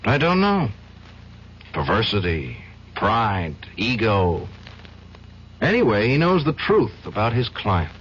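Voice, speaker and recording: A troubled young defense lawyer in a vintage radio drama. His pitch jumps around widely as he talks, intense and halting, full of indignation. The sound is muffled, with faint noise. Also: huge pitch swings, a bit bright, exaggerated voice. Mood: bitter. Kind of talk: old-time radio drama acting. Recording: dull, faintly noisy old radio recording.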